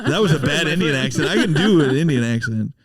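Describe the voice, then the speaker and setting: A man's voice: a little high, lively intonation, quick pace, over a noisy crowd. A man, a stand-up comedian, telling stories in a laid-back, swearing podcast chat with frequent laughs.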